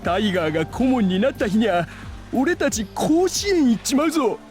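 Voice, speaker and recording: Jumpy anime game schoolboy, extremely high-pitched, swooping, slangy, music faintly behind. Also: male voice, big pitch swings, rapid-fire, a little mellow. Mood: alarmed. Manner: protesting, slangy. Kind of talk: Japanese anime visual novel acting.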